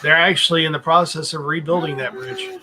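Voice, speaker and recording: A man's voice: fairly high pitch, huge pitch swings, a little fast, sharp tone. A man, rambling through stories in a casual chat. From North Carolina. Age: 40s. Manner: rambling, storytelling with restarts. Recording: noisy background.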